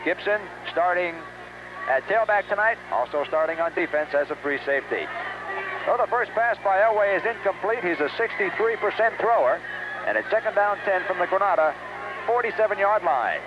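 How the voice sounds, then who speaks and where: An American man gives live football play-by-play in a high-set voice. The audio is a muffled 1970s radio broadcast with clear background noise, recorded at an outdoor game. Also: animated, normal speed.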